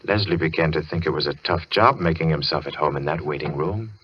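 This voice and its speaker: A man playing an ominous storyteller, narrating a radio suspense tale in a deliberate, wry manner. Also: rumbling low, husky. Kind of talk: dramatic old-time radio narration. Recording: muffled, noisy vintage radio recording.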